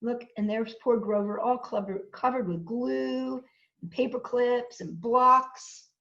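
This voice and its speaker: Female children's storytime host: high-pitched, unhurried, sing-song storytelling with plenty of exclamation. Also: gravelly, fairly bright tone, a little hiss or hum. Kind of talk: animated storytelling to young children. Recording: roomy, dull lo-fi sound with music.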